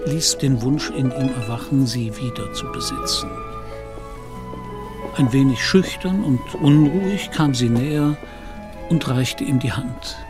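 The narrator of a literary radio play. He has a mid-range voice and a very slow, grave, deliberate delivery. Music plays clearly behind him. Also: a little high. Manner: measured, deliberate. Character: grave, unhurried storyteller of a literary tale.

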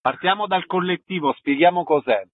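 A man's voice: high-pitched, a little fast, breathy edge, no echo. A man hosting a radio interview and introducing a guest, with muffled sound. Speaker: radio host.

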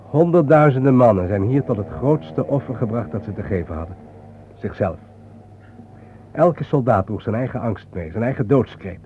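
A man's voice: medium pitch, animated, natural voice. Man acting grave thoughtful reporter guy in an old radio play, phone-like sound, bit of noise. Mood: solemn. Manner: grave, philosophical, deliberate phrasing. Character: solemn, reflective male journalist haunted by war dead.

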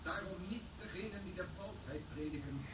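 An actor playing a solemn priest who preaches the gospel at mass in a declamatory way, in a Dutch radio drama. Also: male voice, high voice, a little fast, lightly acted. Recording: distant echoey voice, tinny noisy old recording.

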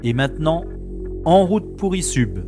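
A man playing a weary, bantering spaceship commander in a science fiction audio drama, with a measured manner. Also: on the high side, animated, over loud music. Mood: focused. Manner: measured, exasperated with his computer.

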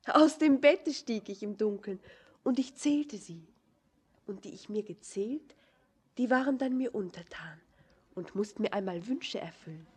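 Very breathy, innocent young woman, shy. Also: medium pitch, expressive, measured pace. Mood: shy, wondering. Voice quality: very breathy, often near whispering. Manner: gentle, hesitant, earnest. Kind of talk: literary German radio play acting.

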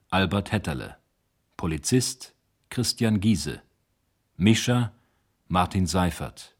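Guy reading radio play credits, deepish voice, really slow and formal. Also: a little mellow. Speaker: announcer. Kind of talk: scripted radio drama credits.

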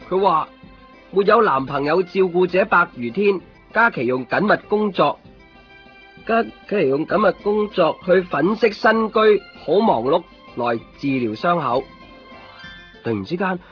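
A man's voice: high-pitched, expressive, normal speed. A man playing a reflective, lovelorn young man in a Cantonese radio drama, with a musing, conversational delivery. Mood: musing, narrating. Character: reflective, lovelorn young man narrating his own story. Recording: dull lo-fi old radio recording. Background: music.

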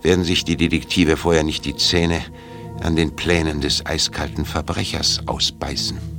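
A man's voice: a bass voice, flat delivery, moderate pace. A man narrating an audio drama in a scripted storytelling style. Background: music.

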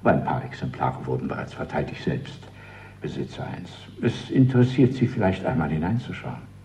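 An actor playing a courteous, guarded male party official in a radio drama, speaking politely and deliberately with an insinuating undertone. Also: very deep voice, big pitch swings, dark tone, a little hiss or hum, full character voice.

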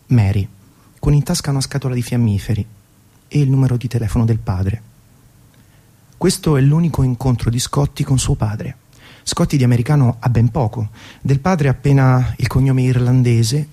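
A man's voice: mid-range voice, warm tone. A man telling a scripted story as the host and narrator of a radio programme.

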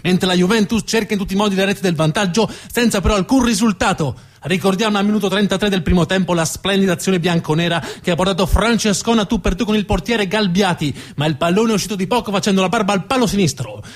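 A man playing an excitable 1950s radio football commentator, emphatic and repeating names and phrases. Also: sits high, fast talker, hardly any pauses, pitched below the performer's own voice. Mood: brisk, formal.

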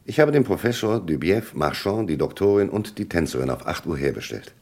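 An actor playing a shrewd police commissioner in a German radio crime drama, courteous but relentless, with formal, commanding questioning. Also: male voice, sits low, animated, a little fast, a little mellow. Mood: probing, authoritative.

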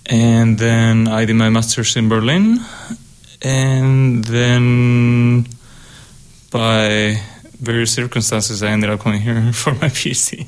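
Young man, Spanish accent, mid pitch, hesitant, many ums, radio studio. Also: steady tone, takes it slowly, clean tone. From Spain. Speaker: guest composer, co-host. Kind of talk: casual radio conversation.

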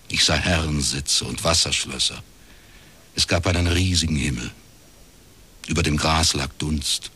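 An actor playing a laconic private detective in a radio crime drama, with a husky voice and a measured, dry way of telling things. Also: male voice, extremely low pitch, bright, forceful tone, heavily acted. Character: laconic male East Berlin academic turned private detective.

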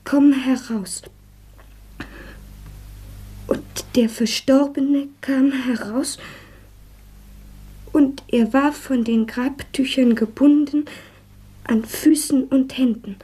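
Very slow, whispery scripture reader. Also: female voice, high voice, steady tone, dark tone, full character voice. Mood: solemn, hushed. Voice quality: breathy, whispery. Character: solemn, hushed woman reading scripture aloud. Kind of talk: slow scripture reading in radio drama.

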